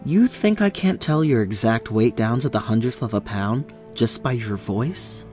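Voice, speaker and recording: A man playing a stern, cryptic veteran soldier and mentor, lecturing in a measured, solemn way for a parody. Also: mid-range voice, animated, a little mellow, soft background music, close to an everyday voice. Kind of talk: parody dub acting.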